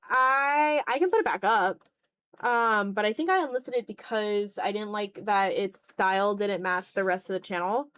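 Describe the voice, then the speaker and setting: A woman's voice: mid-range voice, fairly bright tone. A woman chatting casually on an art livestream, thinking aloud in a hesitant, self-deprecating way. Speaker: streamer and content creator. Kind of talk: casual livestream chat while drawing.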